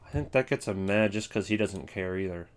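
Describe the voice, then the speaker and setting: A man's voice: on the low side, brisk, clean tone. A man giving offhand, opinionated casual commentary as a video creator ranking things.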